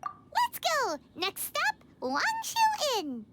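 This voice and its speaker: A woman voicing a tiny, cheeky, excitable female fairy companion in a video game. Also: very high-pitched, drawn-out words, very noisy, heavily acted. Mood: cheerful, eager. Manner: speaks of self in third person, chirpy, blunt.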